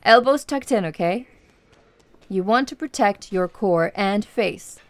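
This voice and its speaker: A woman playing a confident, flirtatious tomboy MMA coach in an ASMR roleplay, speaking softly and teasingly to the listener. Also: medium pitch, lively intonation, slight background noise, natural voice. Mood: excited, encouraging. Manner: slow, teasing, giggly, encouraging.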